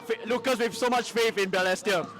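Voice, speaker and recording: Hoarse high-voiced man vlogging. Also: brisk, soft background music, some chatter behind. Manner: excitable, rambling with fillers. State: losing his voice, hoarse. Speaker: football vlogger. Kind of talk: casual post-match vlog. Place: outside a football stadium. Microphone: handheld phone with clip mic.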